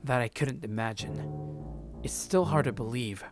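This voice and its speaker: A woman's voice: a bass voice, normal speed, over music. A woman voicing a wary, uneasy young man in a visual novel, terse and understated. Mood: skeptical.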